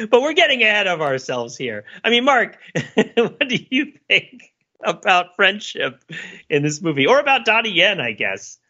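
A man's voice: high voice, very animated, takes it slowly, long pauses, sharp tone, husky. A man hosting a casual film discussion podcast with wry, mock-analytical joking, recorded on a slightly dull microphone. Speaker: podcast host leading the discussion.

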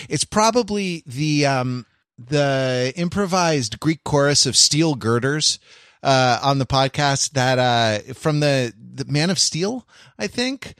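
A man hosting a casual panel podcast, talking in a halting, rambling way and often interrupting himself. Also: medium pitch, a bit bright, no room sound, clean studio sound. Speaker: podcast host.

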